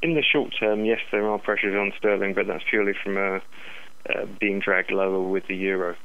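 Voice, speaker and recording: A man giving market commentary as an analyst in a phone interview, halting and repeating words, over a dull telephone line. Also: sits low, steady tone, smooth. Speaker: currency analyst, interview guest.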